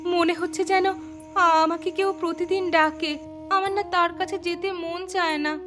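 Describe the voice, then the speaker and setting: A woman's voice: very high-pitched, steady tone, a little slow, background noise. A woman voicing a frightened young village daughter in a horror cartoon, plaintive and anxious but stubborn. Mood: uneasy. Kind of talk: dubbed horror cartoon dialogue. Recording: music clearly underneath.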